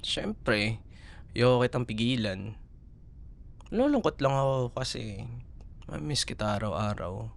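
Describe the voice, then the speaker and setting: A man with a Filipino accent playing an affectionate, teasing young college boyfriend in an intimate, softly hesitant ASMR roleplay. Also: mid-range voice, very animated, unhurried, vocal fry, pauses often. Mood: wistful, teasing.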